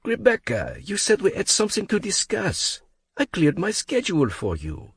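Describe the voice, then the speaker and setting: A man doing a smug French billionaire in an audiobook, his thick French accent smooth and slurred with drink. The voice sits noticeably higher than the narrator's own deep tone, with a slightly husky edge. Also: wildly swooping pitch, moderate pace, a bit bright, dry recording, full character voice. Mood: put out.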